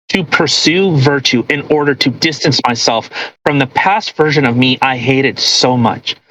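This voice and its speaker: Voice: mid-range voice, pushed and bright, low-quality recording. Crude, mocking podcast co-host. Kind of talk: casual commentary while reading a post aloud.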